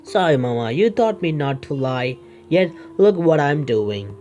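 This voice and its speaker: A man doing a game playthrough, reading the narration aloud haltingly and adding his own asides. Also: on the high side, sing-song, mellow, a little hiss or hum. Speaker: gaming video creator. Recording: music behind the voice.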